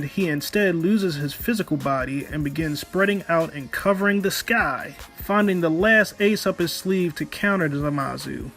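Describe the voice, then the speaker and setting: Higher-pitched man reviewing anime over music. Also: a bit bright. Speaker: video reviewer. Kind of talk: excited casual anime review commentary. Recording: music behind the voice. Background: background music.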